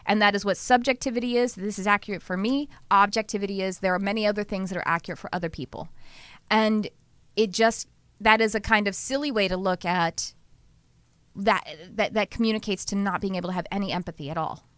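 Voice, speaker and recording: A woman with a Texas accent hosting a podcast, talking casually and lively, often laughing and speeding up in bursts. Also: mid-range voice, a little fast, clean tone, no room sound. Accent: Texan. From Southern US. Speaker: podcast host and channeler. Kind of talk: casual conversational podcast chat.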